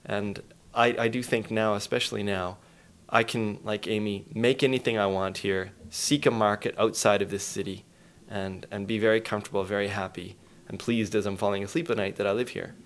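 A man with a fairly low voice speaks as an interview guest. His style is casual and reflective. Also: fairly even intonation, fairly quick.

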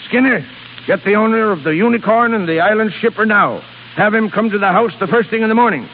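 A man's voice: sits high, quick pace, close to an everyday voice. An actor playing a crusty, blustering old shipping boss in his sixties, sputtering salty seafaring talk in 1930s radio style. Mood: scheming. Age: 60s. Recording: crackly, tinny 1930s radio broadcast.

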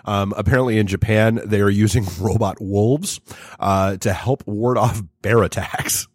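A man's voice: fairly low pitch, steady tone, unhurried, no echo, studio quality. An American man co-hosting a tech talk show, chatting casually in an informal, amused way with some casual swearing. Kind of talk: casual chat.

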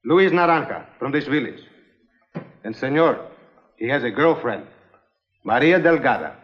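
An actor playing a polite, formal police lieutenant in a vintage drama, speaking deliberately on a dull old recording. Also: male voice, mid-range voice, big pitch swings, close to an everyday voice. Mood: calm, courteous. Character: courteous, measured foreign police lieutenant, a man. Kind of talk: old-time radio drama acting.

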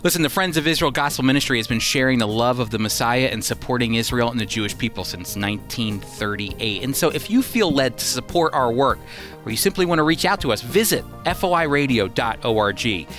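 A male radio host speaks in a friendly, clear voice at a medium pitch. He repeats the details carefully, with faint music playing behind him. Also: animated, brisk, fairly bright tone. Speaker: radio show host and teacher. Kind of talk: radio announcement.

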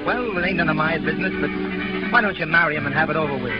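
Man playing a harried, fast-barking stage manager, old radio. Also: fairly high pitch, lightly acted. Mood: exasperated. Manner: barking, impatient, slangy. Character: harried, bossy stage manager of a burlesque show. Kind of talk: 1930s radio drama acting. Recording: muffled 1930s radio broadcast with music behind.

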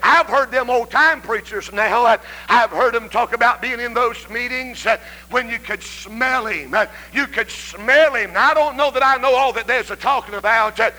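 Male revival preacher, Southern accent, very high forceful voice, fervent and emphatic delivery with rhythmic "uh" breaks. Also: normal speed, low-quality recording. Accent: Southern American. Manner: emphatic, swinging between shouted cries and hushed pleading; punctuates phrases with a rhythmic "uh". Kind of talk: fervent old-time revival sermon.

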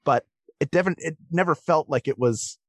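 A man's voice: fairly high pitch, moderate pace, fairly bright tone, slightly rough, no room sound. Man explaining thoughtfully, close dry sound. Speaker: podcast guest, comedic stage and voice performer. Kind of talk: conversational interview answers.

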